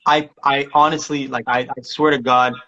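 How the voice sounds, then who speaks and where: A man's voice: medium pitch, little pitch movement, moderate pace, pushed and bright, lo-fi sound. A man from Somalia, a music producer chatting casually as a guest and rambling with many restarts and laughs. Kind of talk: casual interview chat.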